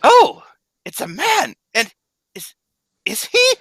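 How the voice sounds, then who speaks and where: A self-possessed, theatrical mademoiselle as a performer plays her in an old-time radio murder mystery. She is exclamatory and snappy, with a sharp, bright edge and huge pitch swings. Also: wildly swooping pitch, slow pace, no echo, studio quality, heavily acted. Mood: alarmed.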